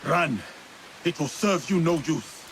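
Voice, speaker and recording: Casting: resolute game samurai out for vengeance, gravelly, solemn, defiant, slight hiss on the track. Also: male voice, on the high side, takes it slowly, fairly bright tone. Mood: fierce threat. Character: vengeful, resolute male samurai, a former slave. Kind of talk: dramatic video game acting.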